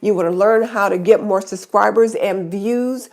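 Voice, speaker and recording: Middle-aged woman host, stuffy-nosed from a cold, loose chatty live-stream delivery, mid-range pitch. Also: moderate pace. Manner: chatty, greets viewers by name. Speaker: YouTube channel host. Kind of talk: casual live stream chat.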